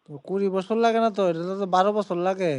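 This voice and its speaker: A man's voice: sits high, steady tone, fast talker, over music. A young man chatting casually on a phone call, brusque and teasing, given to exclamations. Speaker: online video creator taking a call.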